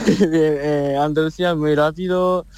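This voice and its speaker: A man in his late teens with a Japanese accent, a native Japanese speaker giving halting, simple answers in a foreign language as an interviewed footballer. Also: fairly high pitch, fairly even intonation, a little mellow, smooth, no echo.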